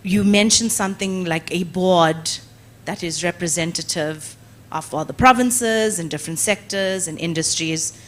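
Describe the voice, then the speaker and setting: A woman's voice: lowish voice, normal speed. A woman asking an enthusiastic question from the floor at a conference. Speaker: conference delegate.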